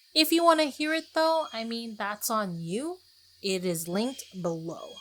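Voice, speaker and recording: A woman's voice: medium pitch, fairly bright tone. A woman podcast host narrating a casual scripted solo episode in a chatty, self-deprecating way with conversational asides.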